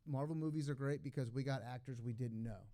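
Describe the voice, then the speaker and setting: A man's voice: mid-range voice, quick pace, warm tone. A man chatting casually on a panel, giving his opinions emphatically and repeating himself for stress. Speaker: podcast host and collector. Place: live on stage at a collectors convention.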